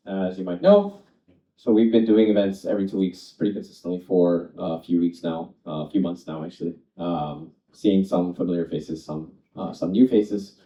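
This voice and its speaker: A man hosting a panel event and welcoming the audience in a relaxed, hesitant way with many fillers. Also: low-pitched. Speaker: event host. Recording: echoey room, distant dull mic.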